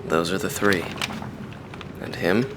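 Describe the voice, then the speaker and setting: A man playing a weary, reflective veteran mercenary pilot in a video game, recalling the past in a measured, wistful interview monologue. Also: deep voice, steady tone, noisy background. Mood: wistful reminiscence. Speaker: former mercenary fighter pilot being interviewed.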